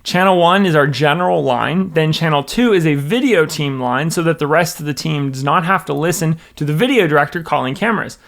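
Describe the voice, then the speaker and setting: An American man narrates a scripted product walkthrough. His voice sits a little high for a man and carries a strong creak. He explains things methodically, step by step, like an instructor. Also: expressive, brisk, hardly any pauses, a bit bright. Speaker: presenter, church production director.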